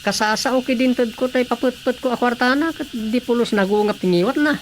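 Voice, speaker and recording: A man's voice: very high voice, brisk, slight background noise. A man with an Ilocano accent acting a grumbling, put-upon village councilman in a radio drama comedy. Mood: exasperated.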